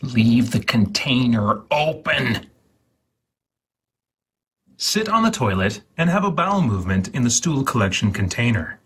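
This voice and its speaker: A man reading an instruction guide aloud in a mock-serious, theatrical, deliberate way, with a husky voice. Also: medium pitch, very animated, a bit bright. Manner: theatrical, deliberate, repeats words for emphasis.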